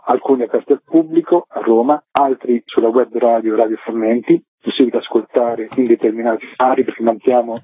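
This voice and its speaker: A man's voice: on the low side, breathy edge. A man being interviewed as a theatre festival co-director, explaining a programme in long, detailed, flowing sentences. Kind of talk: radio phone interview, explanatory rundown. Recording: muffled telephone line with echo.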